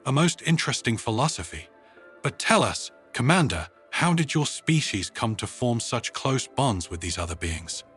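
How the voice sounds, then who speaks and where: A man's voice: mid-range voice, very animated, normal speed, a bit bright, slightly rough, soft background music, heavily acted. A man voicing a polite, intensely curious tentacled alien diplomat who speaks formally in a sci-fi story. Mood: intrigued.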